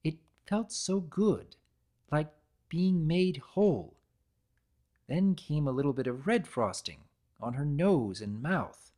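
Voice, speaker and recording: Story narrator, dramatic reading, very animated intonation, deliberate expressive delivery. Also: medium pitch.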